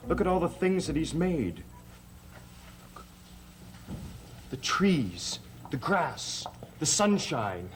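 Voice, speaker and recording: An actor playing a mocking grown son in a gothic soap opera, with a dry, sarcastic, declamatory delivery. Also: male voice, fairly high pitch, big pitch swings, slow, pauses often, a little hiss or hum. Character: sardonic, mocking grown son of a wealthy family. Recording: old soap soundtrack with music underneath.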